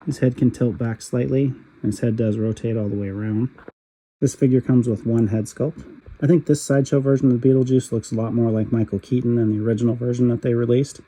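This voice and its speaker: Husky man with a cold, very dark voice. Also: medium pitch, a little fast, poor audio. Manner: steady, matter-of-fact commentary. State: raspy from a cold. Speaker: toy reviewer. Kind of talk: calm voice-over product review.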